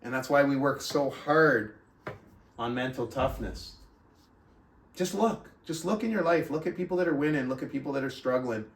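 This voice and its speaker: A man's voice: medium pitch, animated, normal speed. A man hosting a book club, reading aloud and giving motivational coaching talk, putting questions to his listeners. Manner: addresses listeners with rhetorical questions. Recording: roomy echo, distant from the mic.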